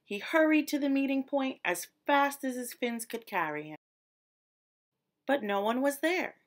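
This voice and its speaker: A woman storyteller narrating a children's picture book expressively, with deliberate, dramatic emphasis on descriptive words. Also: high-pitched, animated. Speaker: read-aloud storyteller.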